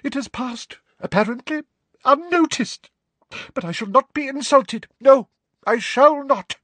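A man voicing an overwrought, eccentric older female seer teacher, speaking in a high, throbbing, theatrical voice. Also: measured pace, a bit bright, dry recording, exaggerated voice. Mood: hysterical.

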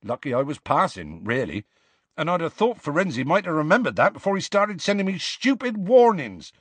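A man voicing a huge, gruff, evasive gamekeeper with rustic colloquial speech in an audiobook. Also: mid-range voice, sing-song, moderate pace, a bit bright, no echo, heavily acted. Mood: indignant. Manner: colloquial rural speech, dropped words.

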